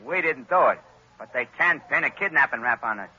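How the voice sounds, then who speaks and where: A man's voice: mid-range voice, lively intonation, fairly quick, bright, forceful tone, breathy edge, heavily acted. An actor playing a dim, drawling male thug in a 1940s radio drama. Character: slow-witted male thug Slim. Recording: dull lo-fi old radio recording.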